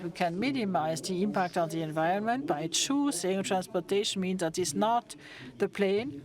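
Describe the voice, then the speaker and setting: A woman from Germany with a German accent, giving a halting conference talk full of restarts and fillers. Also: lowish voice. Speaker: conference speaker. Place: conference hall with an audience.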